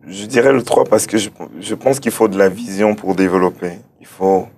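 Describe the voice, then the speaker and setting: A man's voice: on the low side, normal speed, darkish tone, slight background noise. A man from Cameroon answering interview questions, hesitant and often repeating words as he thinks aloud. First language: German-speaking background. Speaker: interview guest, start-up founder.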